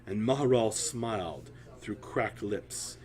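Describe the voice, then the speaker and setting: A man telling a fantasy story in a solemn, theatrical way, with deliberate phrasing and dramatic pauses. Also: lowish voice, darkish tone.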